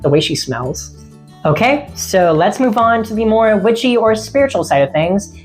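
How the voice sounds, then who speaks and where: Chatty lady with a lowish slightly raspy voice, rambling friendly vlog, soft music behind. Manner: rambling, friendly, laughs often. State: voice getting a little raspy. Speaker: witchcraft vlogger.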